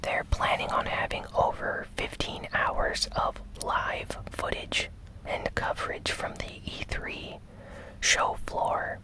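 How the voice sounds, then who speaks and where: An ASMR host recapping news entirely in a breathy, unvoiced whisper, with gentle, measured phrasing and short pauses. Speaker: host of a gaming news show.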